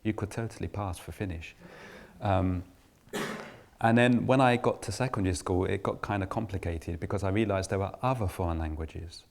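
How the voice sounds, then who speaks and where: A man's voice: low voice, moderate pace, creaky, darkish tone. A middle-aged man from England giving a reflective personal talk to an audience, often restarting his sentences. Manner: reflective, with frequent restarts and fillers. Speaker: invited speaker.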